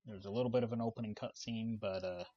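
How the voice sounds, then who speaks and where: Male gaming host, low-pitched and soft-spoken, laid-back commentary, lo-fi mic sound. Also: quick pace. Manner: relaxed, many "uh" fillers, explains the game while playing. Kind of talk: casual gameplay commentary. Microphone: desk microphone.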